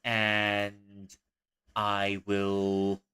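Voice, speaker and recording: A young man from Malaysia chatting casually on a livestream, rambling with frequent restarts and soft laughs. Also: lowish voice, monotone, extremely slow, smooth.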